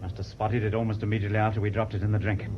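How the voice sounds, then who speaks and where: An actor playing a steadfast British merchant navy officer held prisoner, speaking frankly in a measured, dogged way with a British accent. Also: male voice, on the low side, little pitch movement, close to an everyday voice. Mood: rueful, apologetic. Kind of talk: radio drama acting. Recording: dull, noisy old radio recording.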